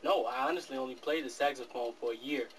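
Eighteen-year-old male rapper, quick and earnest, recorded off-mic in a small room. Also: mid-range voice, a bit bright. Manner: fast, earnest, laughs easily. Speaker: rap artist guest. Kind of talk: casual interview. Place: small office studio. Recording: distant camera mic with faint room noise.

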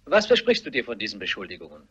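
An actor playing an indignant gentleman, stiff and defensive, in a German radio mystery play. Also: medium pitch, huge pitch swings, quick pace, slightly rough, exaggerated voice. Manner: stiff, affronted. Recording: muffled radio play recording.

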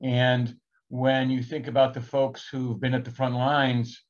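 Middle-aged man, office video call, dull audio, measured questioner. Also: mid-range voice, flat delivery. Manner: thoughtful, builds a question step by step. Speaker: panel questioner. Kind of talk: asking a long question in a virtual town hall. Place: office with large windows, indoors.

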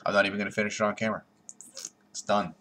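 A man's voice: lowish voice, level tone, rapid-fire. Blunt young man on a webcam. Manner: blunt, sarcastic, jumpy in loudness. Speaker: beer reviewer. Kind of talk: casual webcam review. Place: small room at home, indoors. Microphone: webcam at desk.

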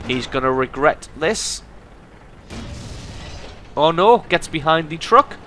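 A man with a cold hosting a gaming channel, commenting on a tank game replay in an amused, lively way. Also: fairly high pitch, slow, slight background noise. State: has a cold.

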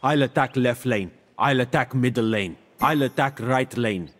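A mystic seer god from a video game, acted by a man, grand and serene with a smug wisdom. He speaks formally and theatrically in measured phrases, and his voice rings out with a long reverb. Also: medium pitch, a bit bright, lightly acted. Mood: composed, tactical. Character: mystic male seer deity, serene, grandiose, smugly wise. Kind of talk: video game character voice lines.

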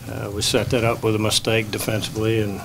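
Older guy coaching baseball, flat steady voice, postgame presser at a table mic, faint hum behind. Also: lowish voice, creaky. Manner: measured, plain-spoken, many restarts. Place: press room.

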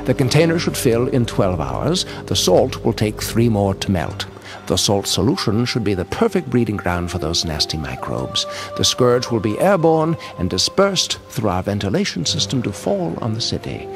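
A man's voice: fairly low pitch, sing-song, moderate pace, vocal fry. A man playing a refined, scheming crime lord in a comic audio drama, suave, grandiose and menacing. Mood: suave menace. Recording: music under the voice.

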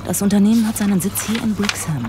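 A woman's voice: mid-range voice, quick pace, background noise, lightly acted. A woman playing a composed, thoughtful police detective, talking conversationally in a German crime audio drama. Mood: businesslike. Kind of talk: German radio drama dialogue. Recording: echoey hall, music behind.

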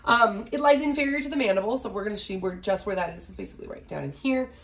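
A woman's voice: medium pitch, fast talker, a bit bright. Woman in her thirties, biology lecture, chatty, slightly nasal from a cold, home office, desk camera. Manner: chatty, asks "right?" often, fillers. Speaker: biology instructor. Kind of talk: casual recorded lecture. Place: small home office, indoors.

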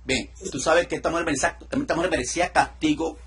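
A middle-aged man leading a deliverance session over a video call, giving calm commands and explaining each step. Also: fairly high pitch, fast. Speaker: deliverance minister. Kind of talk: ministry session with teaching asides. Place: indoor room.

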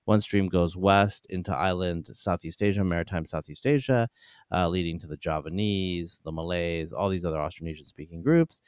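Low-voiced male podcast host, smooth. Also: normal speed, darkish tone, no room sound, clean studio sound. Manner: hesitant, many fillers and restarts. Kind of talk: casual interview.

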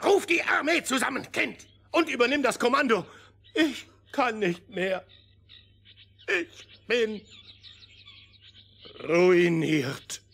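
A self-pitying old fairy-tale king whines and laments. His voice is raspy and creaky, and he drags his words out slowly. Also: extremely high pitch, expressive, fairly bright tone, full character voice. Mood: exhausted, giving up. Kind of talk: fairy-tale radio play acting.

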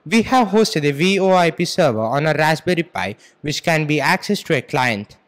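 A teenage male student with an Indian accent delivers a rehearsed school presentation. He speaks formally, in a voice a little higher than average for a male. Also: expressive, normal speed, a bit bright. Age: teens, about 15. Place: school computer lab, indoors. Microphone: handheld microphone.

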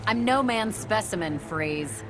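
Casting: actress for bold young superheroine in a game, animated, high, music behind. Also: female voice, unhurried, close to an everyday voice. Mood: indignant. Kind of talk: video game hero dialogue. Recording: music under the voice.

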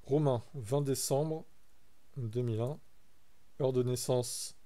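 A man's voice: mid-range voice, slow, mellow. A young man from France chatting casually on a live stream, reacting to his chat and reading text aloud.

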